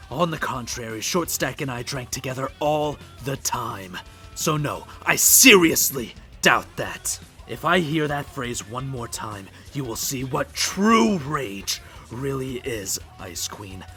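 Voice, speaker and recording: A man playing a bitter, grieving, middle-aged hard-drinking huntsman in a fan comic dub, with a gravelly, raspy voice and a mocking, menacing drawl. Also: medium pitch, lively intonation, takes it slowly, a bit bright, soft background music. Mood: simmering anger.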